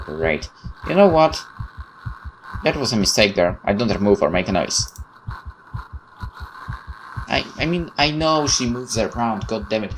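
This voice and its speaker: A man's voice: mid-range voice, slight background noise, low-quality recording. A man hosting a gaming video, reading visual novel text aloud with stumbles and exasperated, sweary asides.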